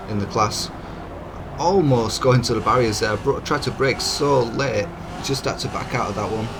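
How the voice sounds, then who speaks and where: Hoarse man narrating racing. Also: on the low side. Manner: easygoing, chatty, apologetic. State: hoarse, croaky voice. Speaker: gaming channel host. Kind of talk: racing gameplay commentary. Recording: game engine noise behind. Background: racing car engine sounds.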